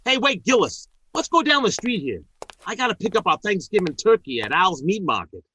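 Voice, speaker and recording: Bumbling, big-hearted factory worker; radio sitcom, high bright voice, faint background noise. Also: male voice, big pitch swings, a little fast, heavily acted. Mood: eager, friendly. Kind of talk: 1940s radio comedy acting.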